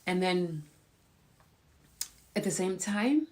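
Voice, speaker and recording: A woman's voice: on the low side, lively intonation, takes it slowly. A woman hosting a casual live stream, chatting to viewers and encouraging them, with a slight cold in her voice. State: has a cold. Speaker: host.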